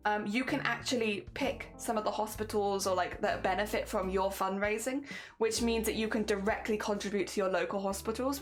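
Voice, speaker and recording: British lady streamer, mixed southern midlands welsh accent, rambly chatty, laughs a lot, bit of music in the back. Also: mid-range voice. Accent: mixed British, southern English, Midlands and Welsh. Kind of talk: casual chat with viewers.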